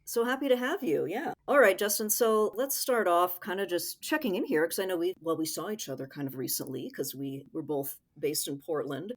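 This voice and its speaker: A woman's voice: on the low side, a little fast, few pauses. A woman co-hosting a podcast in a chatty, informal, upbeat way. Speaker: podcast co-host. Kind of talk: casual podcast chat and interviewing.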